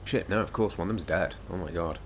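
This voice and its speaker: A man with a slightly croaky voice, giving rambling, self-mocking gameplay commentary. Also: low voice, fast, slight background noise. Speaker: gaming YouTuber.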